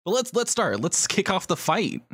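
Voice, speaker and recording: A man's voice: high voice, big pitch swings, moderate pace, fairly bright tone, husky, no room sound, clean studio sound. A man co-hosting a podcast in a casual, jokey, conversational way. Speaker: podcast co-host.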